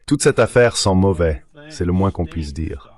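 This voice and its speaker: A man's voice: deep voice, lively intonation, normal speed, a little mellow. A man's synthetic dubbing voice delivering translated political commentary in a discussion.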